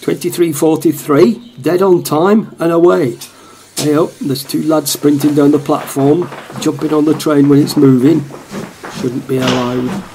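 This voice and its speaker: A man's voice: a little high, steady tone, measured pace. A man playing a wistful, plain-spoken football supporter in a radio play monologue. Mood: observant, disapproving. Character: wistful male football supporter narrating a train ride home. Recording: train noise behind the voice.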